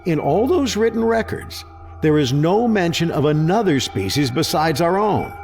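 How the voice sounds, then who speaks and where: A male actor plays a combative government secretary in a science fiction audio drama. He is sarcastic and defensive, with a high-set voice that swings widely as he argues. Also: very animated, moderate pace, creaky, a little mellow, soft background music. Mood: skeptical. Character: combative, skeptical presidential secretary guarding his turf. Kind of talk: sci-fi audio drama acting.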